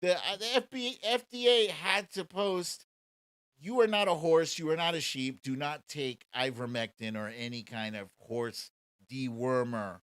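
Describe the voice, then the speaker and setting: A man's voice: on the high side, a little slow, a bit bright, clean tone, no room sound, clean studio sound. A man hosting a casual political commentary livestream in a sarcastic, mocking and profane manner. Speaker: show host.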